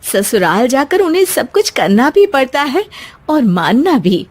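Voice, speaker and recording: A woman narrating a scripted cartoon story as its storyteller. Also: fairly high pitch.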